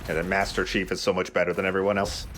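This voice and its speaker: A man's voice: sits low, level tone, quick pace, fairly bright tone, a little hiss or hum. A man hosting a let's play, giving casual, jokey game commentary with friends. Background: game sound.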